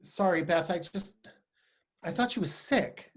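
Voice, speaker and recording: A man's voice: sits high, expressive, a little slow. A man in a play reading over video call, playing a shy, earnest young poetry lover, hesitant and apologetic. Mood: awkward, apologetic.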